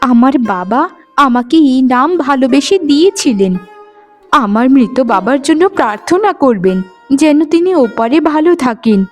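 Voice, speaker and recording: Woman voicing a high-pitched gentle young forest maiden. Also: expressive, normal speed, soft background music. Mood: gentle, wistful. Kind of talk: Bengali fairytale cartoon dialogue.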